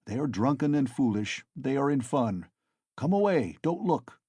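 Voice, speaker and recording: A man voicing a hushing, weary father. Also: medium pitch, sing-song, moderate pace, slightly rough, no room sound. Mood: soothing. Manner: soothing, hushing. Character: calm, weary father of a boy.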